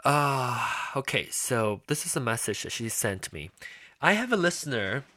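A man's voice: medium pitch, very animated, normal speed, bright, forceful tone, dry recording. Rambling man hosting a podcast. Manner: rambling, many restarts and fillers. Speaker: podcast host. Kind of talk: casual solo podcast talk.

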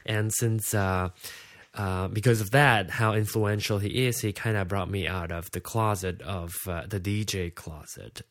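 A man's voice: fairly low pitch, fairly even intonation, measured pace, a bit bright. A sleepy man with a Malaysian accent, a native Hokkien speaker, hosting a casual unscripted podcast in a hesitant, rambling way. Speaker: podcast host.

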